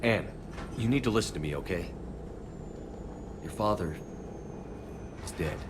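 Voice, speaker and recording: An actor playing a steady, commanding space-navy commander in a video game, speaking in a direct, firm, measured way. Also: male voice, low-pitched, noisy background. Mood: grave, gentle. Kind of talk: video game cutscene acting. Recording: loud game music behind the voice.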